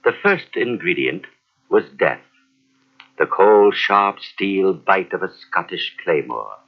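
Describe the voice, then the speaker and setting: An ominous storyteller narrates a 1940s radio drama with grave weight. The pace is measured, the pitch moves dramatically, and the old recording sounds dull. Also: male voice, sing-song, gravelly, breathy edge, heavily acted. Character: ominous narrator of a tale of death.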